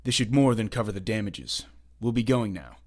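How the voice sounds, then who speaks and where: A man's voice: on the low side, big pitch swings, normal speed. A man playing a confident, commanding young costumed vigilante in a comic dub, cool, assured and condescending. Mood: calm, commanding. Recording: faint music behind the voice.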